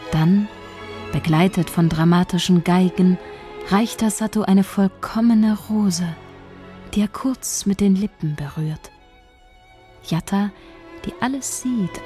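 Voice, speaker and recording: A woman's voice: on the low side, mellow, lightly acted. An actress playing a shy young woman soap writer, narrating her inner monologue in a radio play. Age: young adult.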